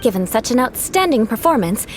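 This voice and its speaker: A woman's voice: a little high, sing-song, brisk, slight background noise. An actress playing a proud young noblewoman knight in a video game, speaking in a haughty, formal, theatrical way. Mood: approving. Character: proud aristocratic young female knight, haughty, vengeful, secretly caring.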